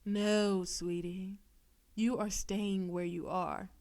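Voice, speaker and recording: A woman's voice: mid-range voice, flat delivery, a little mellow. A woman playing a possessive, demon-possessed girlfriend in an intimate audio roleplay, sweetly condescending and teasing with veiled menace. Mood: controlling, menacing. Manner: slow, coaxing, teasing, with pet names and veiled threats.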